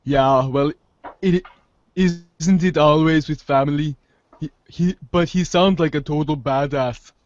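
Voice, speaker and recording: A man playing a laid-back, too-cool teenage boy with a slangy, halting, nonchalant drawl in a fan audio drama. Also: on the high side, natural voice. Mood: casual, hesitant. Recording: close, dull lo-fi sound.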